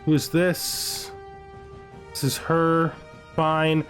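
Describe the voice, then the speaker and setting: A man's voice: on the high side, monotone, drawn-out words, a bit bright, faint music behind. A man giving casual let's-play commentary, thinking aloud and muttering short asides to himself as he plays. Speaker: gamer narrating his own playthrough.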